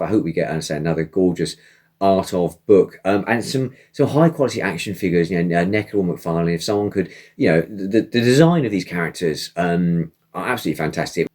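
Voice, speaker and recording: A man with a hoarse, croaky voice, chatting casually as host with lots of ums and uhs. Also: deep voice, dark tone. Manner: many ums and uhs, rambling. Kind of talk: casual chat.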